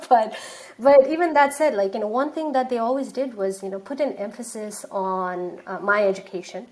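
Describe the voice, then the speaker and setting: A woman talks through an interview in a loose, chatty way. She leans on fillers and restarts her sentences often, in a voice of middling pitch for a woman. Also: darkish tone. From Nepal. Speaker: guest, startup founder. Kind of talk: casual interview talk.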